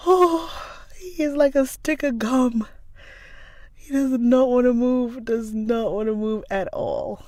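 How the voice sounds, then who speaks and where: Nasal woman with a cold, unhurried. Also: a little high, a little mellow, dry recording, clean studio sound. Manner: chatty, calls viewers darling. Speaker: livestream book reader. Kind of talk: chatting with viewers, then reading a novel aloud.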